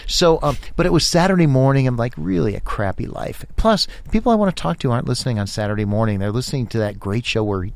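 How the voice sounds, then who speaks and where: A man chatting casually as a guest on a podcast, telling stories in animated bursts with many restarts. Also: mid-range voice, fast talker, vocal fry, hardly any pauses. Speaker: podcast host, guest here. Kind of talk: casual interview chat.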